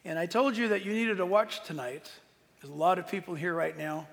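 A man giving an informal, earnest preaching talk to an audience as a Bible teacher, emphatic, with dramatic pauses. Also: sits high, gravelly, a bit bright. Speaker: Bible teacher and tour leader. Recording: long hall reverb.

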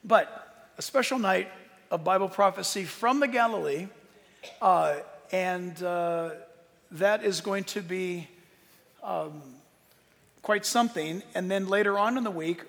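A man with a fairly high, creaky voice speaks as a Bible teacher to an audience. His delivery is earnest and emphatic, broken by dramatic pauses. Long reverb from a large hall trails his words. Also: fairly bright tone. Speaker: Bible teacher and tour leader. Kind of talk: informal preaching talk to an audience.

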